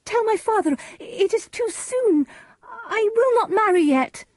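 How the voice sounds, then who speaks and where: A woman's voice: very high-pitched, slow pace, dry recording, clean studio sound, pitched above the performer's own voice. A woman voicing a desperate, defiant teenage girl in love, pleading and tearful in a dramatic storybook reading.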